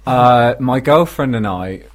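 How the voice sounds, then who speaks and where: A man with a middle-pitched voice co-hosts a radio show. He chats and spins anecdotes casually, with a deadpan, teasing delivery. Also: slow.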